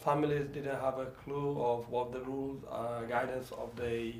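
A man's voice: medium pitch, level tone, normal speed, darkish tone. A Bengali-speaking man who is a local councillor, talking in an interview in a hesitant way, full of fillers and repeated phrases. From Bangladesh. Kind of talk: conversational interview. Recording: echoey room with faint background noise.

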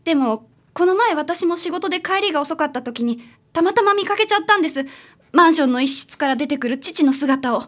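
Woman acting a worried daughter client, high voice, very polite and a bit hesitant, radio drama. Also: expressive, a little fast, a bit bright. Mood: troubled. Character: polite, troubled adult daughter seeking a detective's help.

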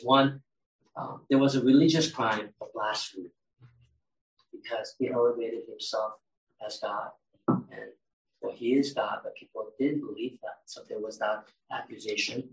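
Pastor guy giving a chatty sermon, sounds roomy and kinda far from the mic. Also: mid-range voice. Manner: conversational, asks the congregation questions. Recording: roomy echo, mic at a distance.

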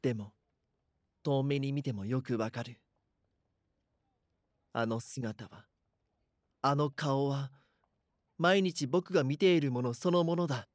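A man's voice: mid-range voice, slow pace, pauses often, dry recording, lightly acted. Schoolboy lead for an eerie voice drama, male actor: nervous, bashful, earnest first-person narration, recorded close and dry. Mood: tense, uneasy. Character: anxious, bashful schoolboy narrating his own eerie story.

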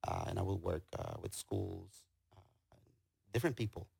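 Low, creaky-voiced Guatemalan man. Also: huge pitch swings, dark tone, no room sound. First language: Spanish. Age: middle-aged. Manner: halting, hesitant delivery. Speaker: interview guest, tailor and business owner. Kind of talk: casual conversational storytelling.

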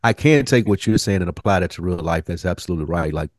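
A man's voice: sits low, animated, quick pace, darkish tone, no echo, studio quality. An American man co-hosting a casual podcast, debating frankly in slangy speech with loose grammar. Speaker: podcast co-host.

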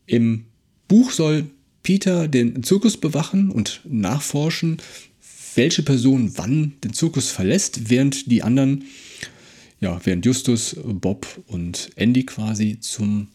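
A man's voice: mid-range voice, lively intonation, slow, warm tone, husky. A man co-hosting a podcast, casually analysing a story while feeling for his words. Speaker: podcast co-host. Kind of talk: casual discussion and analysis of an audio drama plot.

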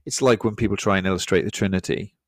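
Preacher guy, real low voice, dry close sound, chatting about his sermon, kinda self-deprecating. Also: quick pace, dry recording. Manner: thoughtful, self-deprecating, repeats words. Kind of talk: casual church podcast chat.

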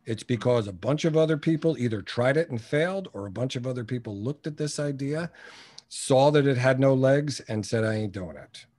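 A man's voice: medium pitch, lively intonation, brisk, warm tone. A man in his early sixties with a mid-range voice speaks as a guest in a conversational interview. He is blunt and opinionated, driving points home with emphatic, colloquial phrasing and storytelling. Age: early 60s. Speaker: interview guest, business coach. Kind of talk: conversational interview with anecdotes and advice.

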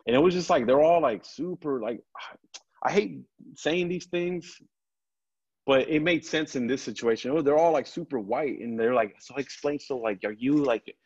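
A man's voice: medium pitch, darkish tone, husky. Lisping man, dull call audio. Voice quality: lisp. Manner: halting, rambling, peppered with slang and swearing. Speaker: podcast guest, comedian and film director. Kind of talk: casual chat, slangy storytelling. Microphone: video call.

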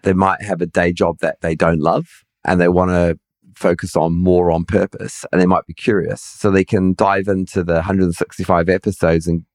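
A man's voice: sits low, little pitch movement, moderate pace, a little mellow, dry recording, studio quality. A middle-aged man with a somewhat nasal voice, hosting a podcast in casual conversation, hesitant and self-deprecating with many restarts. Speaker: podcast host.

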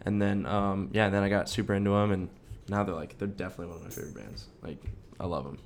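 A man's voice: low voice, fast talker, gravelly, slight background noise. A young man co-hosting a podcast, chatting casually in an informal, slangy way. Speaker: podcast co-host. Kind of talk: casual chat.

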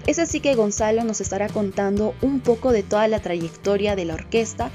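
A woman's voice: medium pitch, brisk, dark tone. A woman reading a scripted introduction as host of a music segment.

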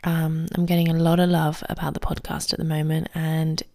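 A woman hosting a solo podcast, talking calmly and quietly, often close to a whisper, recorded at home early in the morning. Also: low voice, brisk, no echo. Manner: soft, calm and quiet, often near a whisper. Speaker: podcast host and ballet teacher. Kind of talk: solo podcast advice talk.

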